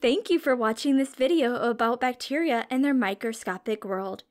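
A woman's voice: on the high side, moderate pace. A woman reading a storybook aloud to young children as a teacher, expressively, with simple questions and explanations.

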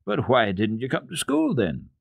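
A man voicing an eager, outspoken little mountain girl with an excitable, direct manner in a storybook reading. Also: medium pitch, normal speed, gravelly, slightly rough, no echo, voice pushed up, full character voice. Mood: reproachful.

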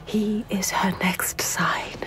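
A woman voicing an anxious spirit inside a warrior's head in a video game, in an urgent, breathy, hushed voice. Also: medium pitch, level tone, slow pace, natural voice. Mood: prophetic, hushed. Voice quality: breathy whisper. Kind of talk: dramatic video game acting.

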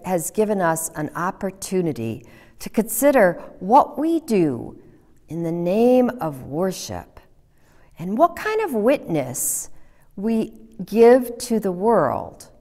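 A middle-aged woman pastor giving an earnest sermon, sometimes dropping to a hushed whisper for emphasis. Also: medium pitch. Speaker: Lutheran pastor. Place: church chancel, indoors. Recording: long hall reverb in a church.

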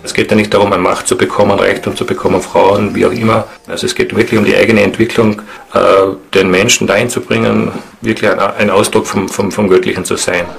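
A man explaining things spontaneously in an interview, halting and earnest. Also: fairly low pitch, flat delivery, creaky. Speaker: interviewee, esoteric practitioner.

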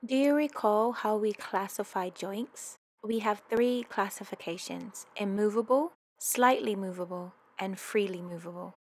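A woman with a gravelly, slightly creaky voice at a middle pitch talks through a prepared lesson as a voice-over. Her delivery is measured and instructional. She often stops to quiz the listener before giving the answer. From United Kingdom. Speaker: fitness course tutor. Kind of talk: scripted slideshow lecture narration.

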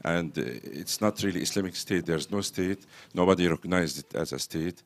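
Man from Syria, reporter, pointed and argumentative, very deep voice. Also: moderate pace. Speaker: reporter asking a question. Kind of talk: press conference question.

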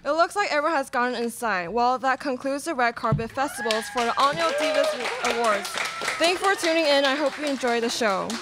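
A woman's voice: sits high, big pitch swings, fairly quick. A young adult woman, a student presenter speaking live at a radio theatre show. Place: indoor classroom stage before small audience. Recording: noisy live room recording.

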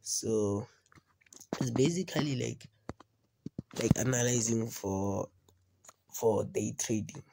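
A man's voice: medium pitch, slow, creaky, pauses often, dark tone, slight background noise. A man with a cold hosting a casual trading tutorial over a screen recording, often repeating himself and asking "you understand?". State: has a cold. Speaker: trading video host.

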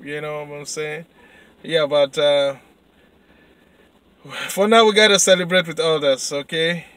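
A man in his thirties with a Nigerian accent, vlogging excitedly from his car at night into a handheld camera. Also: a little high, unhurried, clean tone, dry recording. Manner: excited, chatty. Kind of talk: casual vlog. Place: car at night, then city street. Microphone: handheld camera at arm's length.

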